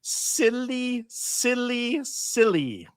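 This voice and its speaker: A woman's voice: fairly high pitch, sing-song, drawn-out words, clean tone, dry recording, clean studio sound, full character voice. A woman playing a pompous, petulant, greedy stage magician who scolds theatrically in a live cartoon table read. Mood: dismissive.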